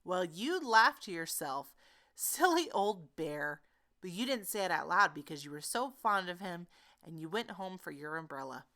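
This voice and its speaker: A woman narrating a children's story in an expressive, animated storytelling manner. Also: fairly low pitch, normal speed.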